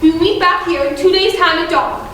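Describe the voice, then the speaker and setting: A woman's voice: very high-pitched, brisk. A young woman, a student actor, playing an impassioned French revolutionary leader in a stage play. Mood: rousing, resolute. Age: young adult. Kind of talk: high school stage play acting. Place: school theatre stage, distant microphone. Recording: echoey stage from far off, faint noise.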